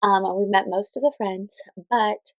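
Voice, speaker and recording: A woman's voice: mid-range voice, fairly even intonation, unhurried, no room sound. A woman in her thirties giving casual book recommendations as a parent, informally and a little hesitantly, thinking aloud as she explains. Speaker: parent reviewing children's read-aloud books.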